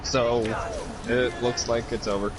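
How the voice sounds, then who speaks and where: Man chatting casually over loud noise. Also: mid-range voice, flat delivery, unhurried. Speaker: video game streamer. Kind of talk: casual chat and gameplay commentary while streaming. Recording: noisy mic with loud background noise.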